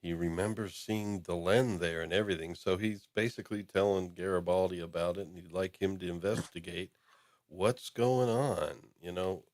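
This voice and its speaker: A deep-voiced male podcast host talks through an episode recap in a loose, unhurried ramble. He pads his sentences with ums and uhs and circles back on his points. The sound is dry and close, with no room echo. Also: darkish tone.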